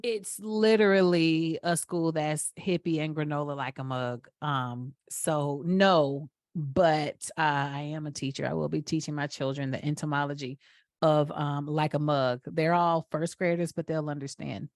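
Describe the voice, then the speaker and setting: A woman's voice: sits low, fairly even intonation, unhurried, no room sound, studio quality. A woman from Atlanta with a Southern accent, co-hosting a podcast in casual chat, playful and laughing as she explains with examples. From Atlanta, USA. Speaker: podcast co-host.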